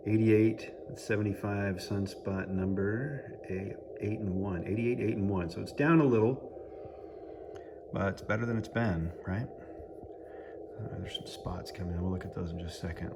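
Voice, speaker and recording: A man's voice: deep voice, normal speed, noisy background. An American man giving a casual running commentary as he operates a radio, thinking aloud and muttering to himself. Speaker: amateur radio operator and vlogger.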